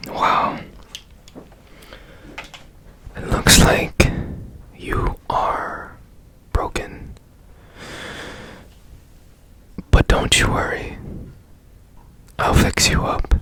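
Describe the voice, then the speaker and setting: A man playing a strange, curious, gently reassuring repairman in a whispered ASMR roleplay, speaking politely and haltingly in a breathy hush. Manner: slow, hushed, polite, halting.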